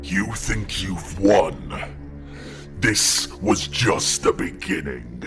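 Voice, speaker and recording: An actor playing a brutal, haughty armored alien warrior villain in an audio drama. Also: male voice, deep voice, expressive, very slow, vocal fry, fairly bright tone, faint music behind, exaggerated voice. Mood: menacing. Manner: menacing, haughty.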